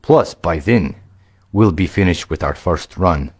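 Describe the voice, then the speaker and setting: A man voicing a big, burly Viking warrior who gives steady, plain advice in a storybook reading. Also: sits low, unhurried, slightly rough, exaggerated voice. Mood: helpful.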